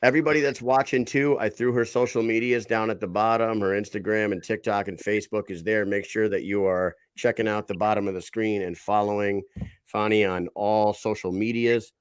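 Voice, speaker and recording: Man, host, low voice, dry recording, chatty, rambling. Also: steady tone, brisk, clear, resonant tone, dry recording. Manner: chatty, rambling, self-correcting. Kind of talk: casual chat show.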